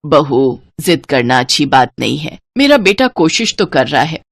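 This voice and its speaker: A woman puts on an older mother-in-law for an animated story. Her voice drops lower and goes soft, measured and reasoning, recorded very dry. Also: dry recording, full character voice. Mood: gentle, concerned.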